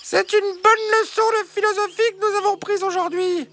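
A woman voicing naive, chatty storybook hens in a high creaky voice, hesitant, stammering and colloquial. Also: fairly even intonation, moderate pace. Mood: resigned.

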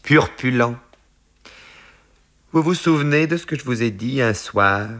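A man voicing a pompous, self-important paternal gentleman who speaks in a formal, deliberate, grandiloquent way. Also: mid-range voice, lively intonation, pitched above the performer's own voice. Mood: insistent, reminiscing.

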